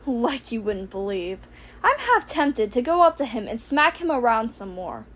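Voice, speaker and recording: A woman's voice: a little high, very animated, moderate pace, fairly bright tone. A woman voicing a brash young professional heroine in an audiobook, casual and sardonic with a caring streak. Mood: casual, fond. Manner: casual, exasperated, emphatic. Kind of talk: audiobook character voice for fan fiction.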